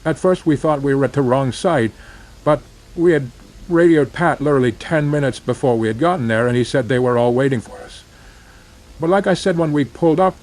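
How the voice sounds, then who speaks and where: Man acting as plain-spoken drilling contractor, mid-pitched. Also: a little mellow, close to an everyday voice. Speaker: drilling contractor giving testimony. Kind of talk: matter-of-fact first-person horror testimony.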